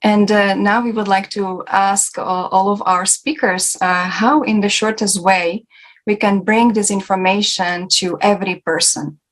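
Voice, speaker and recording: A woman hosts an unscripted panel discussion in a mid-range voice. Her pitch stays quite even, and she moves forward in short, hesitant phrases. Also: a little slow, rough recording. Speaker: discussion host.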